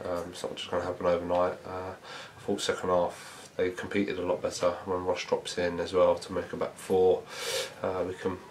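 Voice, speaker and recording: A man, a football manager giving a measured post-match interview, thinking aloud with many fillers. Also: low-pitched, slight background noise. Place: small indoor press room before a sponsor board.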